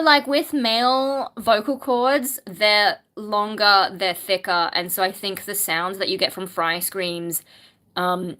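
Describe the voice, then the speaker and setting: A woman's voice: mid-range voice, bright, forceful tone. A woman, a singer, chatting casually on a livestream, reading viewer questions aloud and musing with frequent ums. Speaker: singer and streamer answering chat.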